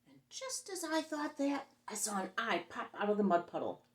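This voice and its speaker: A woman's voice: on the high side, very animated, normal speed, echoey room. A woman hosting story time, reading a picture book expressively and explaining things simply for young children.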